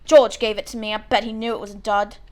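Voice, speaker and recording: A woman's voice: medium pitch, flat delivery, quick pace, a little mellow, close to an everyday voice. Storybook schoolboy, performed by a woman: blunt, muttering, grumbling, gloomy, self-deprecating.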